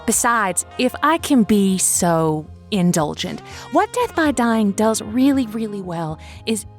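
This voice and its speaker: A woman's voice: medium pitch, sing-song, takes it slowly, faint music behind. An actress playing a quirky, chatty woman who hosts a lonely-hearts radio show, wordy and playfully self-deprecating. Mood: chirpy, playful. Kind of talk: scripted fiction podcast, conversational radio-host patter.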